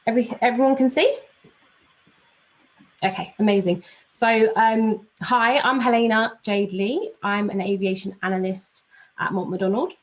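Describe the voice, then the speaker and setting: A woman's voice: on the high side, steady tone, moderate pace, low-quality recording. A woman with a London accent giving an informal presentation on a video call, chatty and slightly flustered. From London, England. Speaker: aviation analyst giving a talk.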